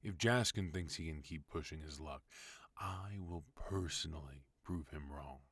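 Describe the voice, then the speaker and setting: A man playing a commanding mafia boss husband in an ASMR audio roleplay, speaking with cold, measured menace. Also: extremely low pitch, fairly bright tone, breathy edge, no room sound, heavily acted. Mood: cold, threatening.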